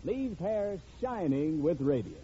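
A man's voice: fairly high pitch, big pitch swings, slow, mellow, slight background noise. An American man announcing a scripted 1940s radio show and its sponsor. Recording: muffled vintage radio broadcast.

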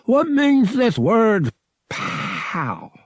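A man voicing a big alien cat-like bodyguard, gruffly friendly, who growls out slow broken English in a storybook reading. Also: very high-pitched, huge pitch swings, no room sound, clean studio sound, voice pushed up, heavily acted.

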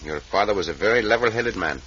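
An actor playing a courteous, confident Mexican cowboy hero with a Mexican accent and formal speech in an old radio western. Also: male voice, on the low side, animated, quick pace, fairly bright tone. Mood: grave, courteous. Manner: formal, courteous, avoids contractions. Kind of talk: 1950s radio western acting. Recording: dull, hissy old radio recording.

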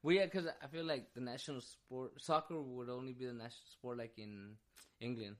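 A man co-hosting a casual podcast chat, relaxed and loose, filling gaps with "yeah" and "dude". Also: mid-range voice, a little fast. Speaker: podcast co-host.

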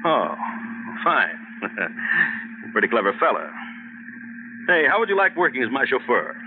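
A man's voice: mid-range voice, big pitch swings, unhurried. An actor playing a pompous, irritable rich man, a cowardly bully who blusters and sputters in old radio drama style. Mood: brusque, self-important. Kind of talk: 1940s radio horror acting. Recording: noisy, tinny old radio recording.

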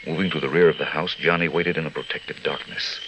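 A man delivering scripted narration as a vintage radio announcer, measured, dramatic and declamatory. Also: rumbling low, fairly even intonation, heavy background noise. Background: music.

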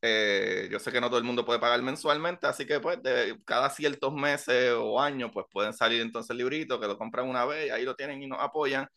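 A man's voice: mid-range voice, brisk, vocal fry, hardly any pauses, bright, forceful tone. A young adult man with a Puerto Rican accent, hosting a podcast in laid-back casual chat, laughing often and slipping in English words. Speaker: podcast host.

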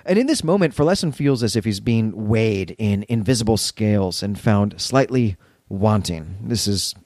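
A man's voice: on the low side, expressive, moderate pace, a little mellow, no echo. Wry man recapping a story, dry close sound. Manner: wry, sardonic asides. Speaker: podcast co-host. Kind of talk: conversational story recap with commentary.

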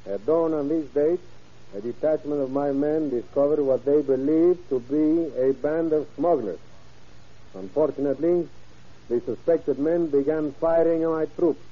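An actor playing a cold, scheming, commanding Mexican general in old-time radio drama style. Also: male voice, on the high side, normal speed, lightly acted. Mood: cold, dictating. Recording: muffled old radio recording.

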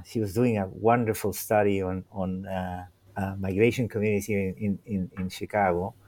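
A man's voice: on the low side, darkish tone. Hesitant man from Chile, many restarts. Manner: hesitant, many repeated words and fillers. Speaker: guest scholar. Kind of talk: conversational interview.

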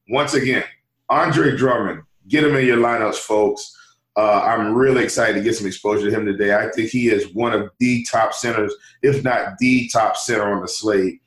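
A hoarse man from the US East Coast, talking eagerly as a podcast guest, with stammering restarts. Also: on the low side, reverberant, low-quality recording. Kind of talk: fantasy basketball podcast chat.

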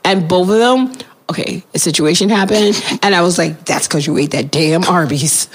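A hoarse woman hosting a podcast, chatting casually with a friend, giggling and telling stories with animated energy. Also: lowish voice, normal speed. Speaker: podcast host. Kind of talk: casual chat.

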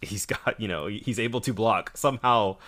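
A man co-hosting a casual podcast, enthusiastic and quick to laugh. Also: fairly low pitch, a bit bright. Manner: enthusiastic, laughs often, does goofy quote voices. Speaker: podcast co-host.

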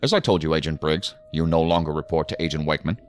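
An actor playing a stern, commanding federal assistant director with a formal, curt, authoritative manner in an audio drama. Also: male voice, extremely low pitch, quick pace. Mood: firm, controlled.

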